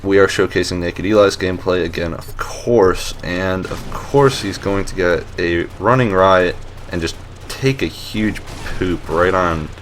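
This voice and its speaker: A man with a deep voice hosting a casual voice-over commentary on gameplay, relaxed and rambling with lots of fillers and restarts, and a dry, self-mocking humor. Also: unhurried, few pauses, a little hiss or hum. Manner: dry, joking self-mockery. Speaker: host of a map showcase series.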